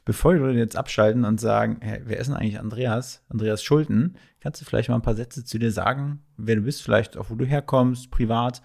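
A man hosts a casual interview podcast. His voice has a lot of fry and creak, and he talks in an informal, conversational way. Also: mid-range voice, fairly quick, hardly any pauses, darkish tone.

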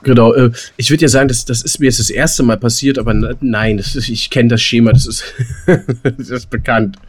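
A man's voice: medium pitch, fairly even intonation, fairly quick, breathy edge. A man co-hosting a podcast in casual banter, teasing and often restarting his phrases. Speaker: podcast co-host. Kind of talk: casual banter between friends.

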